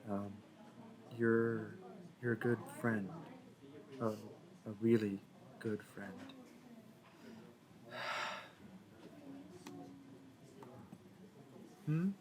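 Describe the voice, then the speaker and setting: A man's voice: on the low side, flat delivery, very slow, long pauses, crowd noise. A man playing a shy, smitten young library worker in a roleplay audio, nervous and awkward, stammering and correcting himself. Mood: awkward, wistful. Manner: stammering, hesitant, self-correcting. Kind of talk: intimate roleplay audio acting.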